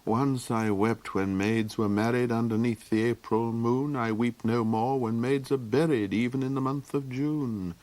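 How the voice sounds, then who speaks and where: A man voicing a world-weary, tearless woman in her late thirties for a storybook, speaking in a slow, mournful way. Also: medium pitch, fairly even intonation, darkish tone, dry recording, natural voice. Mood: weary, stony.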